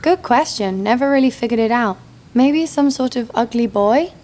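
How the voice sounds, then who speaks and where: A woman's voice: fairly high pitch, expressive, normal speed, darkish tone, slight background noise, close to an everyday voice. A young woman playing a sarcastic, foul-mouthed bounty hunter who narrates her own story in an audio drama. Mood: sarcastic, teasing. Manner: dry, sardonic. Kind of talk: first-person narration and dialogue in audio drama. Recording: music behind the voice.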